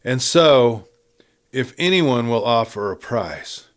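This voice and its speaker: Earnest young western woman, voiced by a man, animated. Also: mid-range voice, slow. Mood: sad, trusting. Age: young adult. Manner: earnest, frank, affectionate. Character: earnest, trusting young woman proud of her horse. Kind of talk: audiobook character reading of a western.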